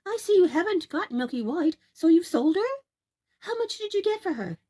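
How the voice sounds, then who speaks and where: Lady doing a storybook mum, poor widow, way high n shrill, swoops about, dramatic, scolding. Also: sing-song, normal speed, dark tone. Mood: eager, excited.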